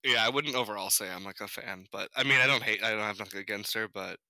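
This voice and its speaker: A young man from Massachusetts co-hosting a podcast, laid-back and quick to laugh. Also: lowish voice, a crowd in the background. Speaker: podcast co-host. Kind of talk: casual chat.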